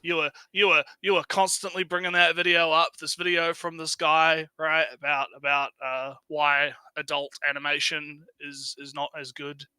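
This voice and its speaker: A man's voice: on the high side, flat delivery, moderate pace, few pauses, bright, forceful tone, smooth, dry recording. A man co-hosting a livestream with casual banter, rambling and often repeating his phrases. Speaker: livestream co-host.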